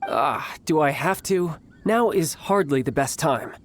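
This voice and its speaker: A man voicing a cocky young fighter in a video game, with a playful, smooth, self-assured manner. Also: fairly high pitch, animated, measured pace, a little hiss or hum. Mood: mildly put out. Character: cocky, breezy young male fighter posing as salesman.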